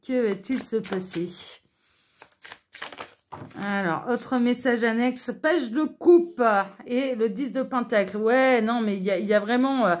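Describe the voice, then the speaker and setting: A woman's voice: medium pitch, darkish tone, a little hiss or hum. A woman hosting an online tarot reading, talking casually to viewers in a chatty, informal, hesitant way full of asides. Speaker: online tarot reader and channel host.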